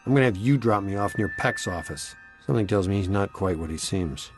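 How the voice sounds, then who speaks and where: Low-voiced, cocky male wisecracker. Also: lively intonation, brisk, faint music behind. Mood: glib, assured. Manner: sardonic, glib, laid-back. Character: wisecracking, cocky, flirtatious ghost-hunting scientist, adult man. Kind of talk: video game cutscene acting.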